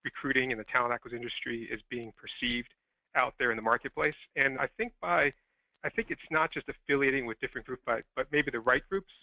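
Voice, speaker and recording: Fast, bright-voiced man on a conference call. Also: mid-range voice, creaky, no room sound. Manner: tentative, qualifying. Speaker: call participant, recruiting group organizer. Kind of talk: informal opinion on a conference call. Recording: dull conference call line.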